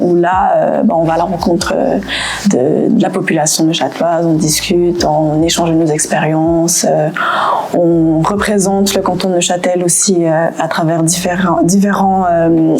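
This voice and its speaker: A woman's voice: on the low side, a little mellow, a little hiss or hum. A woman in her twenties from Canada, speaking candidly as an interview guest, with frequent hesitations and restarts. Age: 20s. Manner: hesitant, many fillers and restarts.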